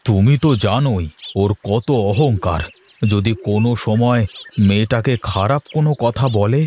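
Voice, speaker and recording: A man's voice: lowish voice, moderate pace, darkish tone, a little hiss or hum, close to an everyday voice. A man playing a kindly, humble village father in a cartoon fairy tale. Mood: caring.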